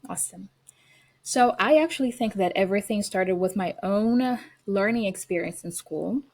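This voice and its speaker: A woman's voice: medium pitch, normal speed. A woman from Brazil with a Brazilian accent, a guest on an interview podcast, telling earnest stories with frequent fillers and restarts. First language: Portuguese. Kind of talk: casual interview.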